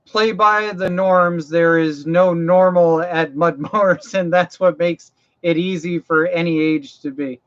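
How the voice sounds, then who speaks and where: Higher-voiced guy rambling thru mower repair questions on his live stream, voice wearing out, kinda muffled audio. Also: fairly even intonation, unhurried, hardly any pauses. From Maine, US. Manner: rambling, folksy, repeats himself and restarts phrases; practical, walks viewers through mower repairs. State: voice getting tired. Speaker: livestream host answering viewer questions. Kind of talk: casual live chat.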